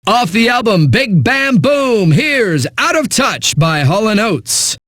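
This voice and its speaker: A smug, breezy eighties music DJ for a game radio station. His voice sits high and moves around a lot. The sound is very dry and close, and the patter is hyped with a sardonic edge. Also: big pitch swings, slow, a bit bright, dry recording, full character voice. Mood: glib, upbeat.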